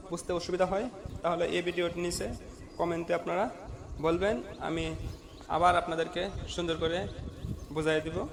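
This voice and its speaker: A man's voice: high voice, gravelly. A man giving a casual how-to tutorial, speaking to viewers in an instructional, repetitive way. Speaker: tutorial host.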